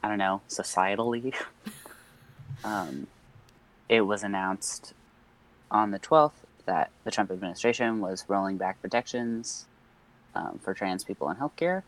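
A man's voice: on the low side, a little slow, pauses often, poor audio. A young man co-hosting a podcast in casual chat, rambling with many fillers and nervous laughs. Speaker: podcast co-host.

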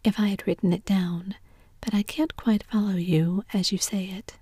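Woman whispering as a polite curious young girl, breathy, hushed. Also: medium pitch, fairly even intonation, normal speed, no room sound, lightly acted.

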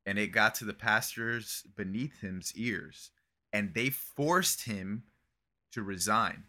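Bright-voiced young man, loose rambling chat. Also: fairly low pitch, slow pace. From Brazil. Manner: relaxed and rambling, swearing, lots of "bro" and "like". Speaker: podcast co-host. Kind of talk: casual chat.

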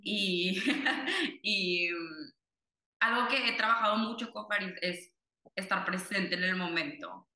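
Bright-voiced woman, rambling and reflective. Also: medium pitch, slow pace, pauses often. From Cuba, raised in Monterrey, Mexico. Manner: rambling, filler-heavy, reflective. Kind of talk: casual conversation. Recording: echoey room.

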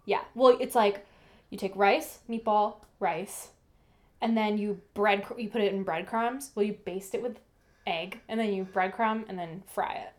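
A young woman co-hosts a relaxed podcast chat. She rambles along in a friendly way, padding her sentences with "like" and "um.". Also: medium pitch, a little slow. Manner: chatty, rambling, full of "like" and "um". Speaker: podcast co-host. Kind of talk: casual chat.

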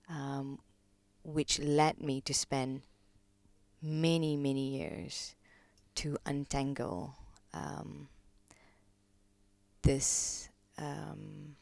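A woman, a podcast guest reflecting on her life in a slow, hesitant way, pausing often. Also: very deep voice, vocal fry, no echo. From Malaysia. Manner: slow, hesitant, many pauses and ums, soft-spoken. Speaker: podcast guest, gong practitioner. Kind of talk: reflective personal interview talk.

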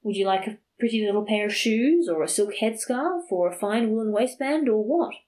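A woman's voice: medium pitch, moderate pace, darkish tone, pitched above the performer's own voice, natural voice. A woman voicing a lustful village priest who wheedles and boasts. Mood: wheedling.

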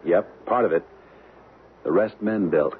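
An actor playing an easygoing, wry husband with a camera in a radio drama, casual and affectionate in manner. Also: male voice, low voice, unhurried. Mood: relaxed, wry. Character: easygoing, wry American husband on vacation, keen photographer. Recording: tinny, noisy old radio recording.

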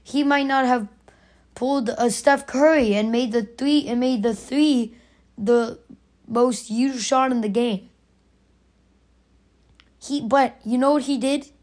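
A raspy young woman hosting her own podcast, arguing her opinion emphatically and repeating her points. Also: sits high, slow pace, long pauses, a little mellow. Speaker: podcast host. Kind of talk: opinionated solo podcast rant.

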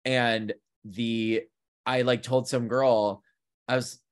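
A man's voice: mid-range voice, slow pace, clean tone. Chatty thirty-year-old man podcasting. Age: 30s. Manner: animated, chatty storytelling with many fillers. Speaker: podcast co-host; counseling student. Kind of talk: casual chat.

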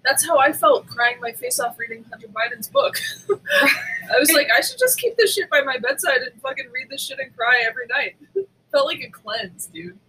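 A woman podcast co-host chatting casually, telling stories in a candid, animated, sweary way. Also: sits high, normal speed, a little hiss or hum, poor audio. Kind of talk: casual chat.

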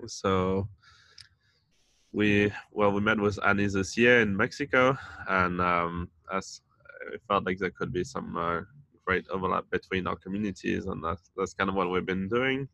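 A man in his thirties from France and Denmark, a community founder talking casually as a podcast guest, with many pauses and fillers. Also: deep voice, fairly even intonation, vocal fry, pushed and bright, dry recording, muffled recording. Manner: hesitant, many fillers and restarts. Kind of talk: casual interview chat.

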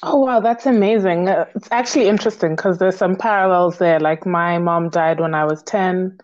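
A woman's voice: fairly low pitch, fairly even intonation, normal speed, darkish tone, lo-fi sound. Chatty woman podcast host. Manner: chatty, uses "like" and "um", laughs. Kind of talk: interview host, scripted intro then casual chat.

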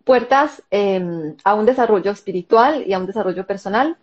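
A Colombian woman, a psychologist and therapist, telling her personal story in an interview, softly and reflectively with frequent pauses. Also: medium pitch, animated, dull audio. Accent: Colombian Spanish. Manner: soft, reflective, with hesitant fillers.

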